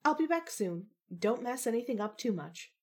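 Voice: mid-range voice, big pitch swings, normal speed, pitched above the performer's own voice. A narrator voicing a poised, snarky young woman with a formal, dryly polite manner in an audio story. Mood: dry, teasing.

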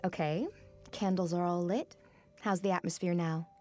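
A woman's voice: lowish voice, steady tone, normal speed, darkish tone, soft background music, natural voice. A woman playing a young, alluring tarot reader in a naturalistic audio drama, with a teasing, mystical manner. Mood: calm, mystical. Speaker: actress.

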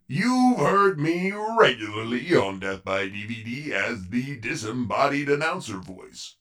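A man's voice: medium pitch, recorded from a distance. A man hosting as a podcast announcer in a pompous, theatrical, scripted comedy style. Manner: pompous, bombastic, exasperated showman delivery.

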